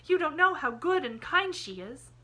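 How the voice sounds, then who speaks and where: A woman's voice: sits high, lively intonation, normal speed, pushed and bright, voice pushed up, full character voice. A woman reading an audiobook, voicing an eager, endlessly cheerful young girl who chatters breathlessly in quaint old-fashioned phrasing. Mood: excited. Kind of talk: audiobook character voice.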